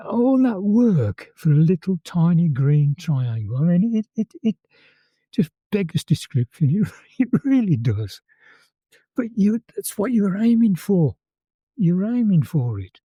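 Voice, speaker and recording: A man in his eighties with a Birmingham accent, calmly recalling old times as an interview guest and often repeating words as he tells his story. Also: high-pitched, a little slow, dry recording. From England. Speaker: interview guest, former conscript. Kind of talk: reminiscing in conversation.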